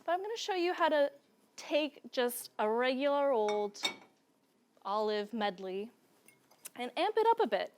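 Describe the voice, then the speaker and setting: A woman's voice: high-pitched, very animated, a little slow, pauses often, noisy background. A young woman from Serbia hosting a cooking show, chatting casually, laughing often and drifting into family stories while she cooks on a studio kitchen set.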